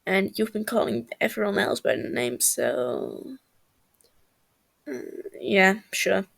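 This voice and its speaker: A woman voicing a stern, big-brotherly male villain with a dry, calm manner in a story reading. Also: medium pitch, slow, gravelly, heavily acted. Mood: awkward, casual. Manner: dry, calm, hesitant when sincere.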